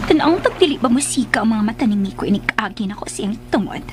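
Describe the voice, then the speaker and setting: A woman's voice: on the high side, animated, fast talker, heavy background noise, close to an everyday voice. A woman playing a scheming, flirtatious woman in a radio drama, coy, theatrical and self-satisfied. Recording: radio drama with loud music underneath. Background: loud music.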